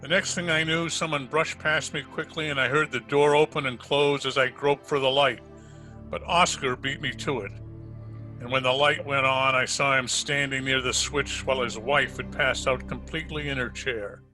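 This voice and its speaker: A man's voice: mid-range voice, fairly even intonation, moderate pace, bright, forceful tone, soft background music, close to an everyday voice. A man playing a dry, wisecracking private detective in an old-time radio drama, narrating his case in hardboiled style. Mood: grave, suspenseful. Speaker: amateur radio drama player.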